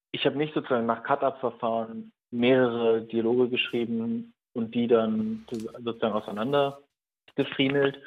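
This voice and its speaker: A man in his thirties, a writer being interviewed by telephone, talking in a reflective, halting, thoughtful way. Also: mid-range voice. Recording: dull, narrow telephone line.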